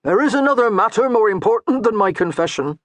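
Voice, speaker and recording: A man's voice: high voice, lively intonation, fast, fairly bright tone, no room sound, pitched above the performer's own voice. A man playing a dutiful medieval steward. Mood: earnest. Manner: patient, apologetic, earnest.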